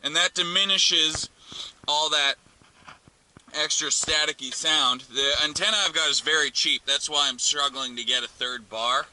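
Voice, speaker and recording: A man's voice: on the high side, unhurried, bright, forceful tone. A man giving a casual hands-on tutorial as a vlogger, informally thinking aloud while he works inside a car. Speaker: vlogger demonstrating a car radio install. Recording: noisy car interior with clicks.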